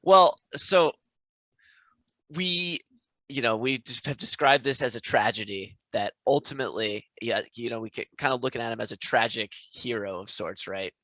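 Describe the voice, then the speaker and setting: A man's voice: mid-range voice, normal speed, bright, forceful tone, no room sound. A man co-hosting a podcast in a casual, conversational book discussion. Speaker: podcast co-host.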